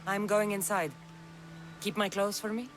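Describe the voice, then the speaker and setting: An actress playing a bold, guarded mercenary woman in a video game cutscene, speaking in a terse, deadpan way. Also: mid-range voice, measured pace, close to an everyday voice. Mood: dry confidence. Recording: clearly noisy game ambience.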